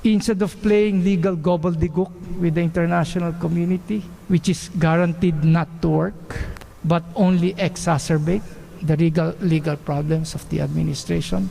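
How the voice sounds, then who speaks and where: A man's voice: a little high, fairly even intonation, moderate pace, gravelly, mellow. A man with a Filipino accent making a formal statement as a commissioner. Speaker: human rights commissioner. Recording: reverberant room with background noise.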